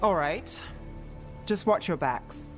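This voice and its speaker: Wry stoic hurt adventurer in a game, slow talker, music behind. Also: female voice, lowish voice, lively intonation, fairly bright tone. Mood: stoic, reluctant.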